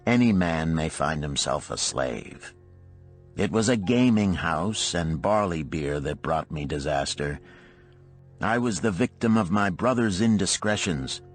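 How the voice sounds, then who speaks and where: A man playing a dignified old merchant who tells his past in measured, formal, archaic speech, in an audiobook. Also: low-pitched, expressive, soft background music. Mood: grave recollection.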